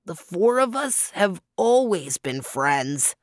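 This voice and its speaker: A woman reading a storybook who voices a slow, gentle old male turtle in a solemn way. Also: fairly low pitch, sing-song, no echo, studio quality. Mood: earnest.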